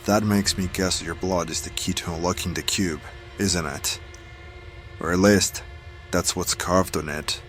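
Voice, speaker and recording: A man's voice: sits low, fairly even intonation, unhurried, fairly bright tone, faint music behind. A man playing a wary, hot-tempered hireling adventurer in an audio drama, challenging and guarded. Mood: wary probing.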